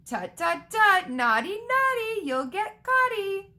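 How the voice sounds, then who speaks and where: A woman's voice: very high-pitched, big pitch swings, slow pace, sharp tone, pitched above the performer's own voice, exaggerated voice. A woman voicing a mischievous, childish male poltergeist with a taunting, sing-song manner in a storybook reading. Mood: gleeful taunting.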